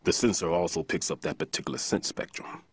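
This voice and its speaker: A man playing a weary older bomb disposal expert and regretful mentor in a video game cutscene, speaking deliberately as he explains. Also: low-pitched, breathy edge, no room sound. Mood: regretful, grave.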